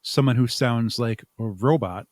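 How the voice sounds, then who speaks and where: A man's voice: medium pitch, a little slow, darkish tone, no echo. A man answering as an interview guest, talking conversationally and explaining things step by step with examples. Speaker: interview guest, audio drama creator.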